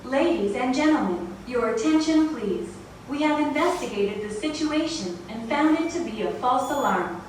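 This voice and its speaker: A woman reading a formal, measured, scripted public address announcement, played through an intercom speaker. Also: high-pitched, animated. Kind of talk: scripted pre-recorded announcement. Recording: very echoey intercom playback, distant, faint noise.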